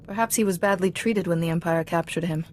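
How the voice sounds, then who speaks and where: A woman playing a composed, diplomatic Jedi Master in a video game, speaking in a measured, formal, reassuring way. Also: lowish voice, a little mellow, a little hiss or hum, lightly acted. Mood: calm, composed. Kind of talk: cinematic video game voice acting.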